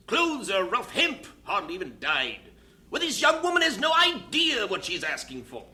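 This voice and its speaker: Audio drama: fussy, worried mission chief, sharp forceful voice, animated pitch swings, blunt and formal. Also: male voice, normal speed, slight background noise, exaggerated voice. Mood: worried, exasperated. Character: fretful, doubting male missionary superintendent.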